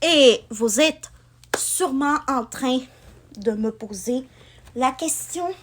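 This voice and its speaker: A woman's voice: sits high, slow. A young woman from Quebec with a partly France-style accent, chatting to camera in a rambling way with many fillers. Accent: Quebec French, with some France-style features. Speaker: vlogger. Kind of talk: casual chat to camera.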